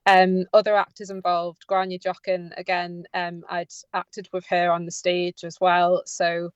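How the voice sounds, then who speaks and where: A woman writer answering interview questions conversationally, in a somewhat halting way. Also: on the low side, monotone, clean tone, no room sound. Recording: slightly dull, dry sound.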